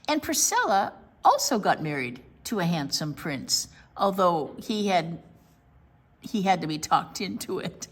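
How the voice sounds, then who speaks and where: A woman reading a picture book aloud to children in an expressive storytelling style. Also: medium pitch, vocal fry.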